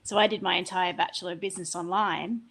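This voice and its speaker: A woman's voice: lowish voice, steady tone, fast talker, fairly bright tone. A woman with an Australian accent, moderating an online panel in a chatty, enthusiastic way over a video call. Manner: chatty, enthusiastic, personal asides.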